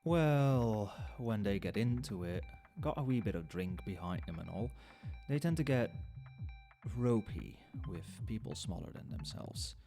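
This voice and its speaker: Man playing nightclub barman, male, lowish pitch, animated intonation, chatty, wry, knowing, conversational ASMR roleplay. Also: unhurried, vocal fry. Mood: casual, cautioning. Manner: conversational, explanatory, with hesitations and understatement. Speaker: voice actor in an audio roleplay. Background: soft club music and nightclub ambience.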